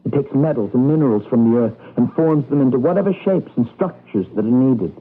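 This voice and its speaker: Casting: idealistic young husband, husky mid-range voice, anxious and coaxing, muffled old radio-drama sound. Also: male voice, mid-range voice, animated, fairly quick. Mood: puzzled, coaxing. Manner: anxious, coaxing, seeks agreement. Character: earnest, trusting young husband, idealistic rebel against computers. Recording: dull, band-limited 1980s radio recording.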